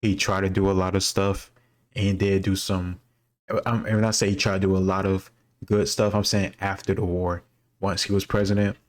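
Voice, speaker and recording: Casting: man with a low, flat voice, casual and rambling, reasons out loud and freely admits gaps. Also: moderate pace. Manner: thinks aloud, candid about not knowing. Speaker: quiz-taker sharing his own commentary.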